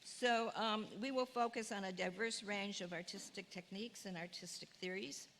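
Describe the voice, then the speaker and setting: Lady teacher with a low gravelly voice, chatty, lots of ums, echoey hall with a little hiss. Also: fairly even intonation, normal speed, a bit bright. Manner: chatty, self-deprecating, many fillers. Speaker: course instructor. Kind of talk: class preview talk. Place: lecture hall, in front of audience. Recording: long hall reverb, faint room noise.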